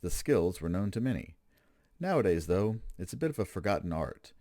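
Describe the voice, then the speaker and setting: A man's voice: deep voice, big pitch swings, fairly quick, mellow, no room sound, studio quality. A man hosting a radio play program, reading scripted introductions in a theatrical, old-fashioned announcer's manner.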